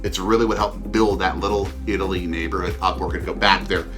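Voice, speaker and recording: A middle-aged man co-hosting a food travel vlog in an upbeat, semi-scripted way, leaning on key words with drawn-out emphasis. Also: sits low, steady tone. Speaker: travel food show host, father co-hosting with his child. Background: background music.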